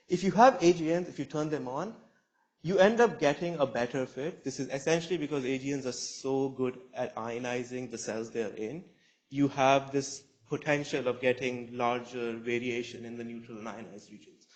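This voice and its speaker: A man's voice: medium pitch, poor audio. A man in his twenties, a student researcher presenting a scientific talk at a conference in a lecture room. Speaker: PhD student speaker.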